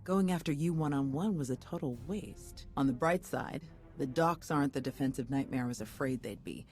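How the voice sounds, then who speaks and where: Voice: mid-range voice, normal speed, darkish tone, faint music behind, natural voice. An actor voicing an easygoing, supportive soldierly companion in a video game, casual and conversational. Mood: relaxed.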